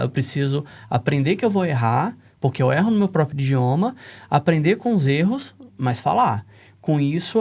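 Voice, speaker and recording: A man's voice: on the high side, vocal fry, a little mellow. A man with a Brazilian accent, explaining emphatically as a guest on a casual panel talk. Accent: Brazilian Portuguese. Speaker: panelist, English teaching founder.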